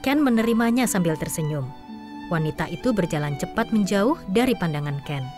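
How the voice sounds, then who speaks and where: A woman narrating a scripted audio drama in an even, measured storytelling manner. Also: on the low side, music in the background.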